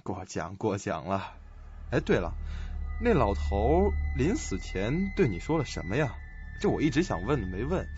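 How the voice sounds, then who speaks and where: A man's voice: lowish voice, big pitch swings, moderate pace, darkish tone, lo-fi sound. A man playing a scheming, calculating doctor with a sly manner in a suspense radio play. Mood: suspicious. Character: scheming, smug, suspicious male doctor. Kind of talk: audio suspense drama acting. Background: music.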